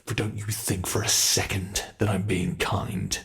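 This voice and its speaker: Low gravelly British monster voiced by a man, unhurried and predatory, close-mic ASMR roleplay. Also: flat delivery, fairly bright tone. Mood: smug. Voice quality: gravelly, slow and relishing. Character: ancient predatory male monster, gleeful and cruel.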